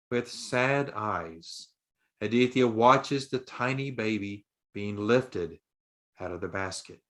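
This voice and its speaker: A man narrating a scripted story in a deliberate, dramatic audiobook style. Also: fairly low pitch, a bit bright.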